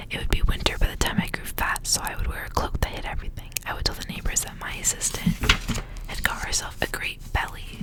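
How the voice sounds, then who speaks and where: Whispering woman reading ASMR. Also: low-quality recording. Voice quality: soft unvoiced whisper. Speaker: ASMR reader and channel host. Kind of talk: whispered ASMR storybook reading with casual asides.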